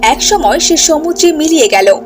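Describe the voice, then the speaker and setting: A woman's voice: high voice, expressive, moderate pace, over music. A woman giving scripted storybook narration as the narrator of a tale.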